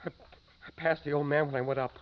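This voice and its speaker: A high-pitched, bitter young man with a gun, played by a male radio actor, accusing and spiteful. The delivery is 1950s radio melodrama, heard through a dull, muffled vintage recording. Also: steady tone, a little fast, lightly acted. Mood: jealous bitterness. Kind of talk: 1950s radio drama acting.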